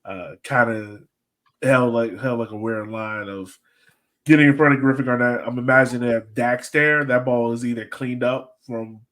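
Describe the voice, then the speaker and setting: A man with a medium-pitched voice talks casually as a sports podcast co-host. He is informal and jokey, recapping play in a conversational way. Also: normal speed, fairly bright tone. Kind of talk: casual sports chat, match breakdown.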